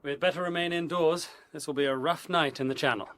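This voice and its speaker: An actor playing a brave, confident gentleman in a period audio drama, spirited and well-mannered. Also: on the high side, steady tone, quick pace, natural voice. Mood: alert, assured. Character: brave, confident young gentleman with seafaring experience.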